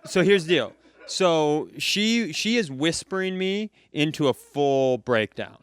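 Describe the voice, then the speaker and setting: A man hosting a comedy podcast, telling a story in a casual, animated way and slipping into other people's voices. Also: a little high, slow, clear, resonant tone, no echo, clean studio sound. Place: live venue, close mic.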